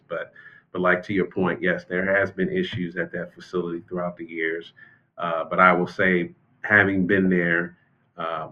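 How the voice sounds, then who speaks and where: An American man speaking as an expert interview guest and former prison official, explaining things in a measured, conversational way. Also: sits low, highs cut off. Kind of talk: unscripted interview commentary.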